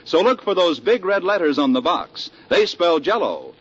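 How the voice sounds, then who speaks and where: A man's voice: sits high, very animated, normal speed, husky. A man with an American accent announcing on 1940s radio in a polished, enthusiastic, scripted sales style. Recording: muffled 1940s radio broadcast.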